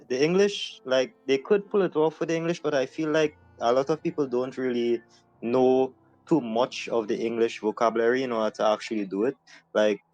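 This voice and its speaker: A man's voice: mid-range voice, darkish tone, poor audio. A man co-hosting a live stream, chatting casually and hesitantly, with restarts and many "like"s. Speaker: co-host.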